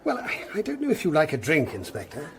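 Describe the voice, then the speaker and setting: An obliging schoolmaster in a radio drama. His fairly high voice swoops around, fussy and wry, with little hesitations. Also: huge pitch swings, quick pace, noisy background. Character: wordy, accommodating male school second master. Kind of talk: full-cast radio drama acting.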